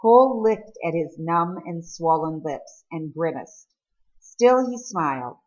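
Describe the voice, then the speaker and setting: A woman's voice: on the low side, lively intonation, slow pace, highs cut off. A woman reading a novel aloud as scripted story narration. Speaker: audiobook reader.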